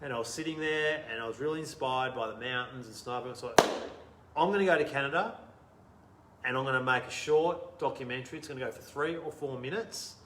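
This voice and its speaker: Australian man in his thirties, interview guest, lively storyteller, laughs a lot, roomy sound, faint background hum. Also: mid-range voice, a little fast. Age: 30s. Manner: animated, laughs often, trails off quietly. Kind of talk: casual storytelling conversation.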